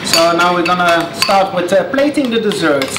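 A man in his thirties with a Dutch accent, a chef giving a cooking demonstration in halting, hesitant phrases with many pauses. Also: high-pitched, animated. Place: indoors in a restaurant kitchen. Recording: roomy kitchen, loud background noise.

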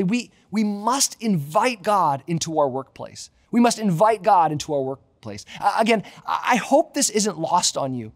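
A man in his thirties with a Canadian accent preaching a sermon as a pastor, emphatic and repeating key phrases for effect. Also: high voice. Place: large empty church auditorium.

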